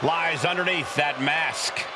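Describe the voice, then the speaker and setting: A man's voice: on the high side, takes it slowly. A man giving dramatic sports commentary as a wrestling announcer. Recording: loud crowd and arena noise.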